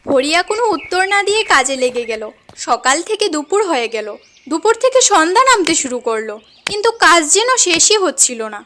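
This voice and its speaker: Casting: female cartoon story narrator, very high voice, dramatic scripted delivery. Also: sing-song, brisk, bright, forceful tone, slight background noise.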